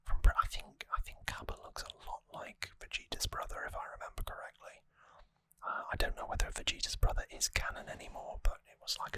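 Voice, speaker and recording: An ASMR host whispering an informal recap close to the microphone, in short phrases with hesitant pauses. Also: sharp tone, dry recording.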